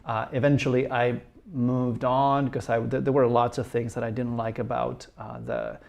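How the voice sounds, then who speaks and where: Man with measured, hesitant phrasing. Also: medium pitch, flat delivery, vocal fry, darkish tone. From Argentina. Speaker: lecturer answering audience questions. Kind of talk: casual personal storytelling.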